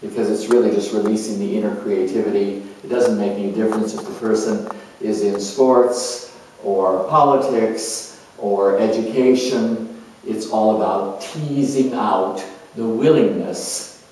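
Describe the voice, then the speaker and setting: A man playing an earnest, self-assured spiritual coach in a dramatized monologue, reflective and halting, with deliberate pauses. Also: on the low side, a little mellow, lightly acted. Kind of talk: dramatized monologue as if answering an interview. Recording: distant mic in very echoey room.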